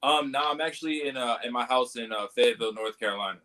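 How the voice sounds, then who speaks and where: A young man from Long Island, a wrestler guest in a casual interview, relaxed and easygoing, laughing often. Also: mid-range voice, quick pace. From Long Island, New York.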